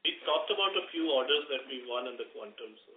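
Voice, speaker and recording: A man's voice: mid-range voice, animated, fast talker. A man giving unscripted answers as a company executive on an earnings call. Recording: echoey room over narrow phone line.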